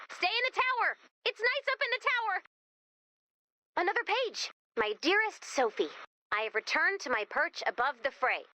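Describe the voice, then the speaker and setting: A woman's voice: high voice, pushed and bright, a little hiss or hum, heavily acted. A woman voicing a quirky, wisecracking young American guide character in a video game, with a chatty, theatrical manner. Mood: exasperated, invested. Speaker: mission guide character addressing the player commander.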